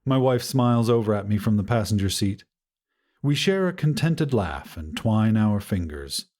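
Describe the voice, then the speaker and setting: A man narrating a scripted first-person story in a measured, dramatic way with deliberate pauses. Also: lowish voice, big pitch swings, darkish tone. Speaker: audiobook narrator.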